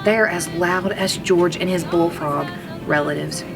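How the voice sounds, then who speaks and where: A woman reading a children's storybook aloud like a teacher, expressive, drawing out words for emphasis. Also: fairly low pitch, unhurried, vocal fry, low-quality recording. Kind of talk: children's storybook read-aloud, first-person narration. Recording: music under the voice. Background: background music.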